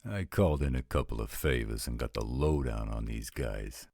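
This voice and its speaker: Very deep, gruff-talking roguish guy acted by a man. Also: normal speed, a little mellow, dry recording, studio quality, exaggerated voice. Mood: blunt, matter-of-fact. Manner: slangy, blunt, drawling street talk. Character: gruff, blunt, roguish male supernatural scout with a code. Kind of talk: audio roleplay drama acting.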